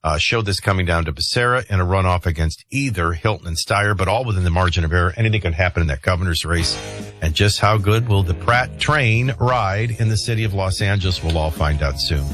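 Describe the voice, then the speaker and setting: A man's voice: sits low, fairly quick, a bit bright, soft background music. A man hosting a morning radio talk show, speaking in a conversational news commentary style.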